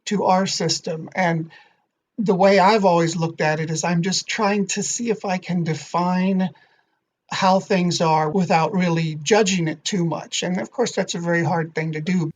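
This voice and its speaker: Measured male author explaining calmly. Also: sits high. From not Thai. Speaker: author guest. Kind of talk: podcast interview.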